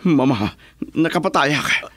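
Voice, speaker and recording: A man's voice: fairly high pitch, expressive, unhurried, a bit bright, no room sound. A man playing a jealous, enraged son and betrayed husband in a radio drama, intense and agitated. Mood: desperate. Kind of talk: Ilocano radio drama acting.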